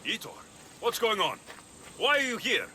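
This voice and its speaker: A tough young Greek mercenary, lively pitch. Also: male voice, moderate pace, a little hiss or hum, exaggerated voice. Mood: angry, betrayed. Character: young male Greek mercenary, tough yet kind-hearted. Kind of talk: video game dialogue.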